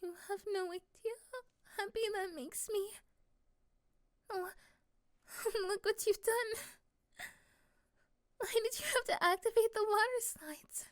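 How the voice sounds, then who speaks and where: A woman playing a tired, sweet, teasing young woman in love in a soft ASMR romantic roleplay, at times whispering breathily. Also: extremely high pitch, slow pace, long pauses. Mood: tearful teasing.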